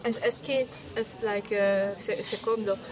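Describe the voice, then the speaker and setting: A woman from Switzerland, a film director, talking in a casual interview, halting and restarting as she searches for words. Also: medium pitch, steady tone, music in the background, rough recording. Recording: crowd chatter behind.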